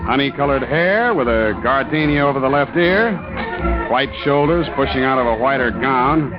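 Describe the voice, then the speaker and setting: An actor playing a tough, suspicious ship's skipper in 1940s radio adventure style, terse, wry and hard-boiled. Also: male voice, medium pitch, close to an everyday voice. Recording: muffled old radio recording with music.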